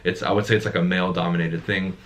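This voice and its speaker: Male sales coach, very low and deep, emphatic, casual talk. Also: little pitch movement, fast talker, fairly bright tone. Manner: emphatic, repeats words when making a point. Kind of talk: casual conversation.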